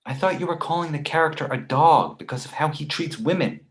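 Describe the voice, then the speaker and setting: A man's voice: on the high side, brisk, close to an everyday voice. A man playing a cautious, exasperated book editor friend in a comedy table read. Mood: disapproving.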